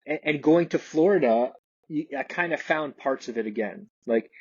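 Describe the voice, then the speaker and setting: A man's voice: fairly high pitch, moderate pace, breathy edge, dry recording, dull audio. A man speaking as a guest in an interview, hesitant and often restarting his sentences. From Canada. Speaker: guest, realtor and investor. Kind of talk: podcast interview conversation.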